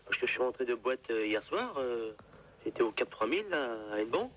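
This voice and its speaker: A man's voice: medium pitch, very animated, fairly quick. A young adult man from France in a casual phone conversation, speaking earnestly. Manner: earnest, repeatedly denying. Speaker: prank call victim. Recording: noisy, dull phone line.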